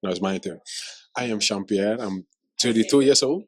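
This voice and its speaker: A man's voice: fairly low pitch, normal speed, no room sound. A man in his thirties from Suriname with a Surinamese Dutch accent, chatting playfully and often restarting his sentences. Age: 30s. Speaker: vlogger co-host. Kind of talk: casual chat.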